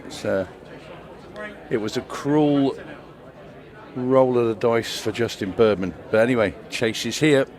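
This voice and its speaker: A man giving brisk play-by-play commentary on a pool match, with dry asides. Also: mid-range voice, darkish tone, soft background music, some chatter behind. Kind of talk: live sports commentary.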